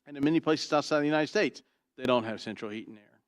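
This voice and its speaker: A pastor with a booming, trained voice preaching an expository sermon, swinging from loud emphasis to quiet asides and asking "Why?" before he answers. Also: fast, clean tone, no echo. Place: church sanctuary.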